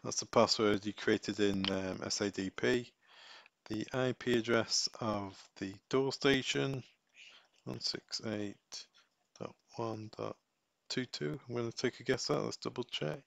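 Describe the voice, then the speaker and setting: A man giving a casual step-by-step software tutorial, thinking aloud as he works. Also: medium pitch, steady tone, unhurried, gravelly, no echo.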